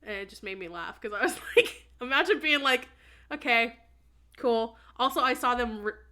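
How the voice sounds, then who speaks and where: A young woman with a bright, slightly high voice chats casually on a podcast. She is blunt and sweary and leans hard on her words. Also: animated, moderate pace. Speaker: podcast co-host. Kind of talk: casual chat.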